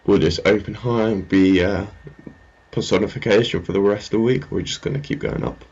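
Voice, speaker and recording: A man's voice: low-pitched, expressive, fairly quick, vocal fry, a little mellow, husky, highs cut off. A man giving casual, unscripted commentary on a screen as a market analyst, hesitant and rambling. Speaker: trading analyst presenting his market update. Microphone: amateur screen-recording voice-over.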